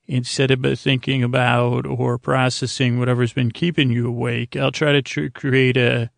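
A man hosts a sleep podcast in a gravelly, creaking voice that barely moves in pitch. He meanders through his monologue haltingly, in a lulling, soothing manner. The sound is dry and close, with no room to it. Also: little pitch movement, no room sound. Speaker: host of a bedtime podcast. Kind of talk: rambling, meandering monologue for falling asleep.

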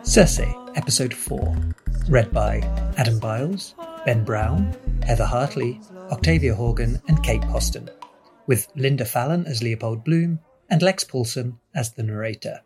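A man's voice: medium pitch, a little slow. A man announcing credits in a scripted podcast introduction. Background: music with instruments.

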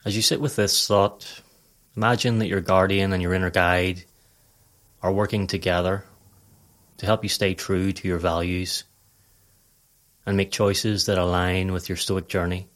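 A man narrating a scripted guided meditation in a calm, measured, instructional manner. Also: low voice.

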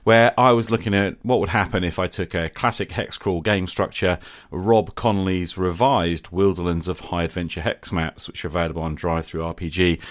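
A man hosts a podcast, talking directly to his listeners. His voice sits low, and the recording is dry and close, with very little room in it. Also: no echo. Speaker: podcast host.

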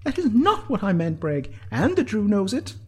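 A man's voice: very high-pitched, big pitch swings, normal speed, darkish tone, slightly rough, pitched above the performer's own voice, heavily acted. A man voicing a shrewd, confident female healer with a dry, assertive, needling manner in an audiobook story. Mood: indignant.

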